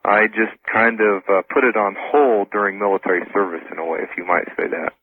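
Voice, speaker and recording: A man speaking as a radio interview guest, casual and informal, explaining things haltingly. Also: lowish voice, steady tone. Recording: tinny phone-like radio broadcast.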